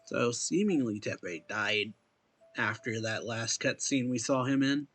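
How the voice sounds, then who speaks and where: A man's voice: medium pitch, a little slow, fairly bright tone. A man hosting a gaming video, casually commenting and reading game text aloud in a laid-back way with mumbled asides.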